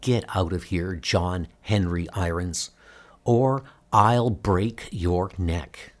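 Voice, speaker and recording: A tough, wary woman bodyguard in hiding, played by a man for a comic dub. The delivery is slow, curt and threatening. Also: deep voice, voice pushed down. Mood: menacing. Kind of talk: comic book dub acting.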